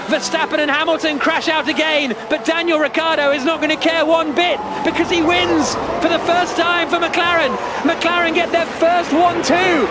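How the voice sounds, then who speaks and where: A high-voiced male commentator calls a motor race live. His delivery builds into excited shouting at the finish. Loud engine noise roars behind him throughout. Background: race cars.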